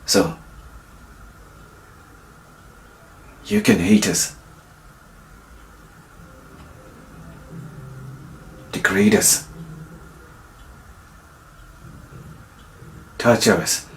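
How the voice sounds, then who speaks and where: A man acting a defiant, resolute young freedom fighter on trial in a dramatic monologue, speaking deliberately with long pauses. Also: fairly low pitch, huge pitch swings, vocal fry, a little hiss or hum, exaggerated voice, poor audio. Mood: grave, determined. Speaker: actor.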